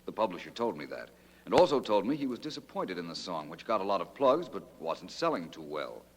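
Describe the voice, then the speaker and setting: A confident American radio detective. His low voice swings expressively as he explains with wry polish. The vintage recording carries a long reverb. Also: very animated, brisk, breathy edge, exaggerated voice. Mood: assured. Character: suave, confident American male amateur detective. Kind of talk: old-time radio detective drama acting.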